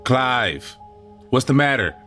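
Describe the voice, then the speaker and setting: A man's voice: mid-range voice, very animated, slow pace, pushed and bright, faint music behind, heavily acted. An actor playing a wounded, grieving father in an audio drama, emotional and confrontational. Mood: accusing. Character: wounded, grieving father, usually mild, turning accusatory.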